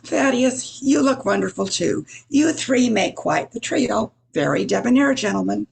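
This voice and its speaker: An imperious female boss in an old-time radio comedy, acted out by a woman. Her voice sits fairly high and is raspy, full of creak, as she demands things of her staff. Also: animated, a little slow, heavily acted. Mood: commanding.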